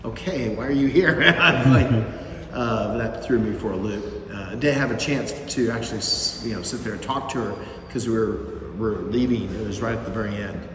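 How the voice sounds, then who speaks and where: A man's voice: on the low side, normal speed, few pauses, fairly bright tone, a little hiss or hum. A man, a mission volunteer, telling a story in a loose, rambling way with many fillers. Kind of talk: casual group recap. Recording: echoey hall from far off.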